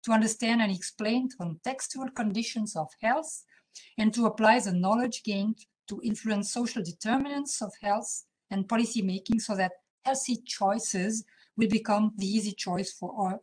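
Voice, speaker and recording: A woman hosting an academic colloquium who gives a formal introduction of the guest speaker. She hesitates often and fills her pauses with "uh". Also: medium pitch, darkish tone, low-quality recording. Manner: hesitant, with many "uh" fillers.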